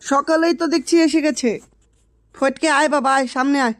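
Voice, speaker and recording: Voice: high voice, brisk, fairly bright tone, no room sound, studio quality. A performer voicing a stern, bossy male village headman, authoritative and threatening, in a comic Bengali drama style.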